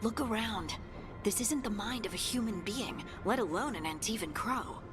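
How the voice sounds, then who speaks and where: A woman's voice: medium pitch, normal speed, over music, natural voice. A woman playing a wary, skeptical companion who speaks with concern in dramatic video game dialogue. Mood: wary, cautioning.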